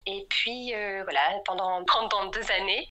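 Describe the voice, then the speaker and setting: Bright-voiced Frenchwoman, hesitant. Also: mid-range voice, a little slow. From France. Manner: hesitant, many fillers. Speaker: podcast guest. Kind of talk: casual interview chat.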